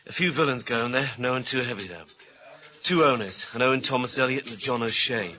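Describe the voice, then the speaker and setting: An actor playing a cynical, grumbling junior British police detective in a radio thriller, talking bluntly and colloquially. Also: male voice, medium pitch, fast, sharp tone, a little hiss or hum, lightly acted. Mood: matter-of-fact, wry. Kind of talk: naturalistic radio thriller acting.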